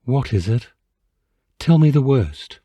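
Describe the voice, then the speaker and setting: Man putting on an animated, fretful genteel wife. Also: medium pitch, takes it slowly, no room sound. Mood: dismayed. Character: genteel married woman, anxious, exasperated by smelly cheeses.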